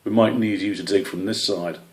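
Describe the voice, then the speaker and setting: A man's voice: on the low side, steady tone, brisk, a little mellow, lightly acted. A man voicing an older male badger in an animal story, fussy, practical and a little flustered.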